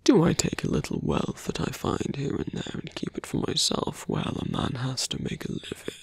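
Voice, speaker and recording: A man playing a seductive, embittered slum-born villain in a fantasy ASMR roleplay, speaking slowly and intimately in a breathy, gravelly half-whisper. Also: medium pitch, huge pitch swings, no echo, exaggerated voice. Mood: bitter, intimate. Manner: slow, intimate, half-whispered, deliberate pauses.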